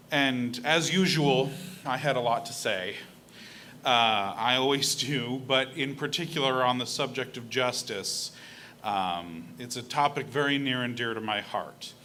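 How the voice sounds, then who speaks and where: A man's voice: mid-range voice, bright, forceful tone, slight background noise. A young man giving an earnest, conversational reflection at a church service, speaking into a pulpit microphone. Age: young adult. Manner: earnest, conversational, with fillers and a self-deprecating laugh. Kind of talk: personal reflection talk from a pulpit. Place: church sanctuary.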